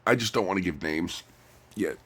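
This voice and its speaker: Deep-voiced, stuffy-nosed man rambling casually. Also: a bit bright, breathy edge. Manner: rambling, halting, often repeats phrases. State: stuffy nose. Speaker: vlogger. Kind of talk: casual personal vlog chat. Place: recorded lying down.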